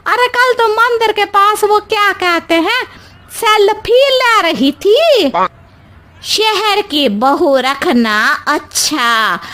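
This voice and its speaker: A woman voicing a gossiping, accusing village woman in a story, with catty, drawn-out complaining. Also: high-pitched, bright, forceful tone, exaggerated voice.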